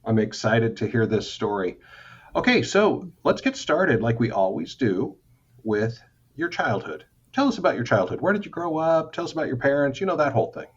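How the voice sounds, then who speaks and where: A man's voice: mid-range voice, big pitch swings, normal speed, lo-fi sound, slight background noise. A man hosting a podcast, reading a short disclaimer and then interviewing his guest in a friendly, upbeat way. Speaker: podcast host. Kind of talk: podcast interview with scripted intro.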